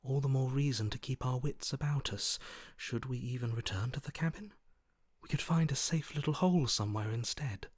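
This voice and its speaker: A man voicing a wary, skittish prey creature for a video game, hushed and breathy, often close to whispering, with a cautious, watchful delivery. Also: medium pitch, normal speed, dry recording, lightly acted. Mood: wary, fearful. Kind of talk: video game character acting.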